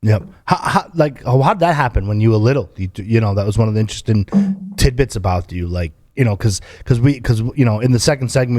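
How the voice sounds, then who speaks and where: Mellow low-voiced stammering host. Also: male voice, no echo, studio quality. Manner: stammering, repeats words while asking questions. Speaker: podcast host. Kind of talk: casual chat.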